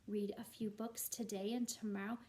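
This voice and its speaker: A woman's voice: mid-range voice, little pitch movement, moderate pace, a little mellow. A woman, a primary school teacher, chatting to young pupils in an encouraging way. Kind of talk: read-aloud and chat to young pupils. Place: at home.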